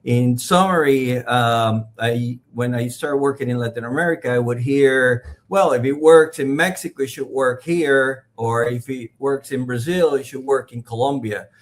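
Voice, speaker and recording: Measured Puerto Rican man answering interview questions. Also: mid-range voice. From Puerto Rico. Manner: measured, pausing with fillers, non-native phrasing. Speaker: podcast guest.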